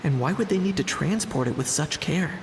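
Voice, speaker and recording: A man's voice: on the high side, lively intonation, fairly quick, a little hiss or hum. An actor playing a shrewd young man narrating and musing as he investigates smugglers in a game. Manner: thoughtful, inquisitive inner monologue.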